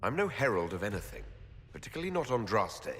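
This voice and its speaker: A man's voice: lowish voice, very animated, quick pace, a bit bright. An actor playing a skeptical, plain-spoken male elf and reluctant hero in a fantasy game, with a wry, questioning manner. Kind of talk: scripted fantasy game acting. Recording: long reverb, background noise.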